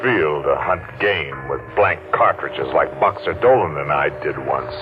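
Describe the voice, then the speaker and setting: A man's voice: extremely low pitch, animated, music in the background. An actor playing a menacing man who mocks and hunts the hero, in 1940s radio drama style on an old muffled recording. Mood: taunting. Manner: slow mocking taunts. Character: menacing man hunting the hero, sly and taunting.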